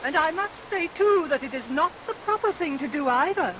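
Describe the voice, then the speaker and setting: A woman's voice: high voice, lively intonation, a little fast. An actress playing an indignant, defiant Victorian woman, declaiming haughtily in a vintage radio melodrama. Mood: indignant, scolding. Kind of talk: old-time radio melodrama acting. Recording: noisy vintage radio recording under rain sounds.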